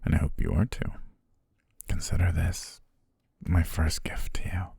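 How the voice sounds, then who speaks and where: A young man playing a devoted, quietly possessive butler, speaking softly and doting in an ASMR roleplay on a close mic. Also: low voice, very animated, measured pace, creaky, slightly rough, no room sound, full character voice. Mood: soothing whisper. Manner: soft, coaxing, doting, lulling. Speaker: ASMR voice actor.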